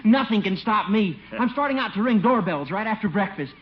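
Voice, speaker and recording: A man's voice: high voice, expressive, fairly quick. An actor playing an eager, cocksure young newlywed salesman with an American accent, earnest and polite, in old-time radio comedy. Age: young adult. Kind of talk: 1940s-50s American radio comedy acting. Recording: muffled old radio broadcast, hissy.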